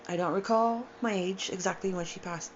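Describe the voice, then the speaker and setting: A woman in her forties telling a painful personal story, halting and emotional, with sighs and restarts, tired and upset. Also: mid-range voice, muffled recording, a little hiss or hum. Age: 40s. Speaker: storyteller sharing a personal account. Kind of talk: unscripted personal testimony.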